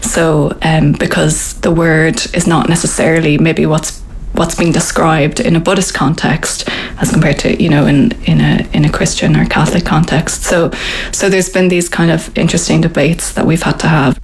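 An Irish woman who grew up speaking Irish, explaining her practice in a relaxed, thoughtful way with frequent ums and restarts. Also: low-pitched, normal speed, a little hiss or hum. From Ireland. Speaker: interviewee, Buddhist centre member. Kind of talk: casual conversational explanation.

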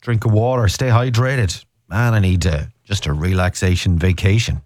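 A man's voice: deep voice, steady tone, normal speed, darkish tone, no room sound, studio quality. An Irish man hosting a radio show, chatting playfully with puns. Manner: playful, punning. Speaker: radio host. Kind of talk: jokey radio chat.

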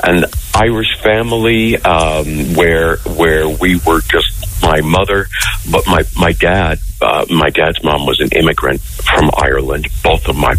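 A man's voice: extremely low pitch, steady tone, a little slow, fairly bright tone, a little hiss or hum, poor audio. An American man from Connecticut, a guest on a call-in line, chatting in a friendly way with frequent ums. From Connecticut, USA. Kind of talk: casual interview chat.

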